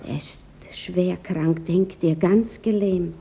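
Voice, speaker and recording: An actress playing a shy, eager young widow, hesitant and self-effacing, in a naturalistic radio drama. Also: female voice, lowish voice, steady tone, slow, warm tone, slightly rough, heavily acted. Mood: halting, nervous.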